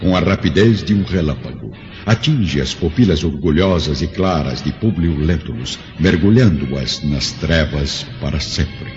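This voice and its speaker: A man narrating a radio play in a grave, measured, literary storytelling manner. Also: rumbling low, expressive, darkish tone. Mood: grave, dramatic. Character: solemn male storyteller of an ancient Roman tragedy. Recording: dull lo-fi audio with music behind.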